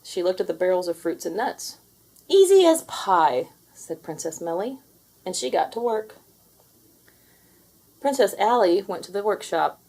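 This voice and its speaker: A woman reading a children's story aloud in an expressive, deliberate storytelling manner. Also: lowish voice, slightly rough. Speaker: storybook reader. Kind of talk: children's read-aloud narration with character voices.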